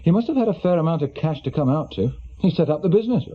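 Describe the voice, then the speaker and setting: An actor playing a brisk, sceptical senior police detective with an English accent, questioning with authority in a British radio drama. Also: male voice, fairly high pitch, animated, dull audio. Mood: businesslike.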